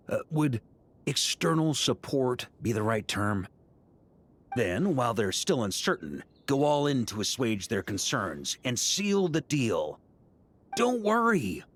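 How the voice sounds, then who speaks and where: An actor playing a talkative, good-humored middle-aged knight grandmaster in scripted video game dialogue, with a theatrical way of chatting. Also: male voice, medium pitch. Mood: jovial, persuasive.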